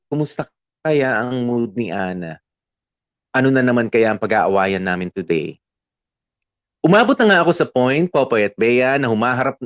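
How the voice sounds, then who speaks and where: A man's voice: mid-range voice, no echo, lightly acted. Dry, slightly dull acted male confessional storytelling. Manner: confiding, mixes Tagalog and English. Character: weary boyfriend recounting his troubled relationship. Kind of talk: scripted first-person story narration.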